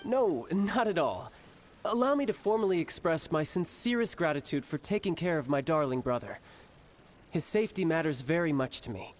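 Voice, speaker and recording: Male actor as cocky, charming young game warrior, lively, teasing, music under. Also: fairly high pitch, moderate pace, slight background noise, lightly acted. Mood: polite. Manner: smooth, teasing, mock-formal. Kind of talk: game cutscene voice acting. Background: background music.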